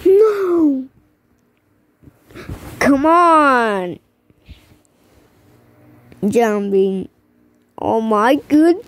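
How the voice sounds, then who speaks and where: A woman giving excited, exclaiming commentary while playing a mobile bowling game. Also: high-pitched, drawn-out words, long pauses. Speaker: gamer.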